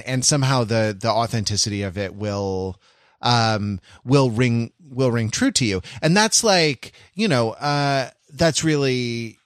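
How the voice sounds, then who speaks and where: Guy on a podcast panel, halting and rambly, working out ideas, close dry sound. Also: on the low side, fairly bright tone, no room sound. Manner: halting, rambling, thinking aloud. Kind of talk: casual analytical discussion.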